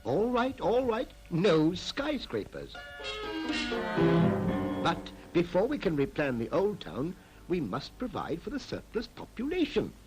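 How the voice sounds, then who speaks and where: An actor playing a confident, persuasive town planner in a 1940s animated public information film, speaking in an emphatic, explanatory way. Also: male voice, high-pitched, measured pace, exaggerated voice, rough recording. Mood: urgent, placating. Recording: old 1940s film soundtrack, music behind.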